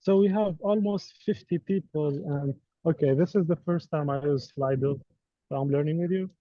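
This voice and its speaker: Man, native Arabic speaker, playful and teasing, fairly high voice, dull dry call audio. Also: normal speed, no room sound. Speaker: course instructor. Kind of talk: running a live online quiz.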